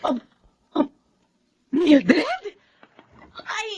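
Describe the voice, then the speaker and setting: A woman's voice: high-pitched, sing-song, drawn-out words, darkish tone, slight background noise, heavily acted. A woman with a Filipino accent playing a lying, evasive middle-aged false friend in a melodrama. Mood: nervous, evasive. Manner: stammering, evasive. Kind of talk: melodramatic Filipino drama acting. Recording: dramatic music behind.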